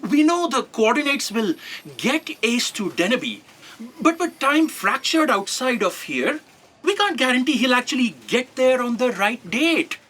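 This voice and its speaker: A woman playing a thoughtful, hesitant bureau worker in an audio drama, musing in a husky voice. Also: on the high side, very animated, unhurried, fairly bright tone, heavily acted. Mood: concerned.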